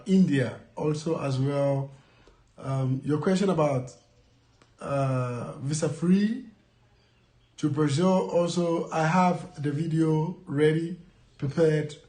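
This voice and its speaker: Ghanaian guy vlogging, lots of ums and repeats, kinda high voice, roomy echo. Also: a little mellow. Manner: rambling, repeats phrases, many fillers. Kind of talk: casual talk to camera. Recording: echoey room.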